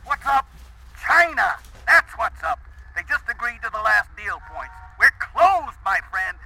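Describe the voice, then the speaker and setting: A man's voice: extremely high pitch, big pitch swings, measured pace, gravelly, sharp tone, slight background noise, heavily acted, rough recording. An actor playing a brash, pushy male dealmaker in an audio drama, blunt and impatient, barking his words. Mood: brash, excited.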